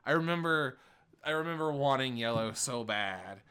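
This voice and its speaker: A man's voice: medium pitch, normal speed, clean tone. A man in his late twenties in casual, rambling chat, often breaking off and restarting. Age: 20s. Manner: rambling, animated, self-interrupting.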